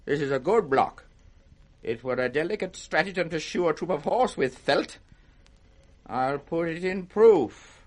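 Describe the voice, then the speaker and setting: An older British man playing a mad, grandiose old king in a classic radio Shakespeare drama, declaiming erratically between roars and hushed brooding. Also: on the high side, expressive, a little slow. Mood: broken, musing. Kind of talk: 1960s radio Shakespeare acting.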